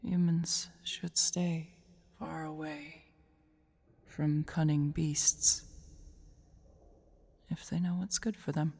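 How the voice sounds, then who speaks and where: A woman's voice: low voice, flat delivery, slow, long pauses, warm tone, exaggerated voice. A woman voicing a haughty female dragon brooding over her hoard in an ASMR roleplay, half-whispering in an imperious mutter. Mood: disdainful, brooding. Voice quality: breathy, half-whispered, creaky.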